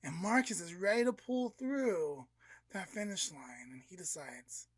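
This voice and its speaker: A woman's voice: lowish voice, animated, unhurried, slightly rough, no room sound. A woman podcast co-host retelling a story in an informal, dramatic, conversational way and acting out the dialogue. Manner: conversational, dramatic, uses slangy quotes.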